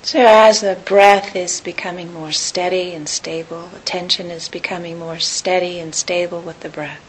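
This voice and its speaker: A woman teaching a guided meditation in a calm, unhurried, gentle manner with long pauses. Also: fairly low pitch, low-quality recording. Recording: hissy recording with clear background noise.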